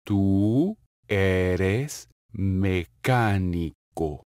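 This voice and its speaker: A man reading short phrases for a language lesson, saying each one slowly and clearly and then repeating it. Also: low voice, darkish tone, dry recording. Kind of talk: slow, carefully enunciated drill reading. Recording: dry, close studio voice-over.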